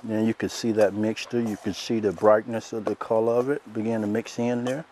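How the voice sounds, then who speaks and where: A soft-spoken middle-aged man, demonstrating a recipe outdoors as a cooking show host in a relaxed, folksy way. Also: on the low side, fairly quick, slight background noise. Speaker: chef host. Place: outdoors by a lake in woods.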